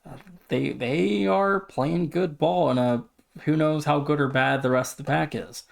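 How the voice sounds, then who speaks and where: A man's voice: a little high, a little slow, gravelly. A man co-hosting a sports podcast, casually running through standings and team updates. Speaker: podcast co-host.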